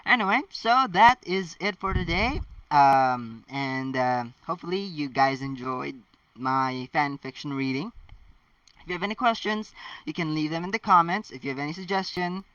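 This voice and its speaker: A man reading fan fiction as an amateur channel host, with animated, halting delivery and casual asides. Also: on the high side, no echo. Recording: dull lo-fi, distant mic.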